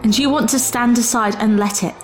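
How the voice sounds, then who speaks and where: A woman's voice: fairly high pitch, a little fast, faint music behind, lightly acted, poor audio. A woman playing a proud, distrustful time traveller in an audio drama, formal, pointed and challenging. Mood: angry.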